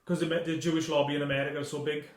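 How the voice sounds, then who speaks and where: A man from Swansea with a Welsh accent, a documentary maker telling stories as a podcast guest in a quick, lively way. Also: a little high. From Wales. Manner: rapid, lively storytelling with restarts. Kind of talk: casual chat. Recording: echoey room.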